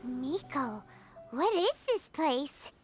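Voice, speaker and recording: A woman voicing a tiny, timid, excitable childlike fairy companion in a video game, whiny in manner. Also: high-pitched, takes it slowly, music in the background, heavily acted. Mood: uneasy. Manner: speaks of herself in third person, whiny.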